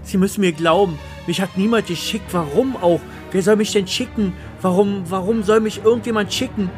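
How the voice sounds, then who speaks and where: A man's voice: high voice, fairly quick, music in the background, pitched above the performer's own voice, lightly acted. A man playing a frightened, ordinary accountant in an audio thriller, stammering and repeating himself as he pleads. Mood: anxious pleading. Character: frightened, dull, ordinary male accountant pleading his innocence. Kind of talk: radio thriller acting.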